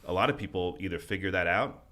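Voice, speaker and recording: A low-voiced man hosts a podcast, talking quickly and casually. He rambles through long questions and easy asides. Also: pushed and bright. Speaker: podcast host. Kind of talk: casual interview talk.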